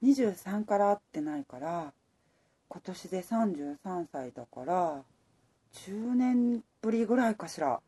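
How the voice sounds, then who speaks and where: A woman's voice: medium pitch, big pitch swings, a little slow, warm tone, breathy edge, heavily acted. A woman playing a level-headed heroine in her thirties who also narrates her romance in a Japanese radio drama. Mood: hesitant.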